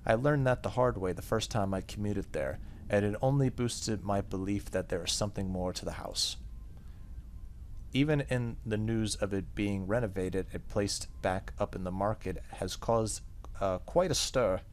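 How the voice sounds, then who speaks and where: Low-voiced man reading a story aloud. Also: normal speed. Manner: stumbles over text and rereads. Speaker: gaming video host. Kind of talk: reading visual novel narration aloud. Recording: faint background noise.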